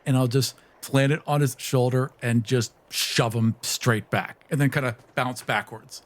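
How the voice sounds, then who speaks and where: A man's voice: medium pitch, steady tone, unhurried. A man hosting a podcast, casually narrating as a tabletop roleplaying player.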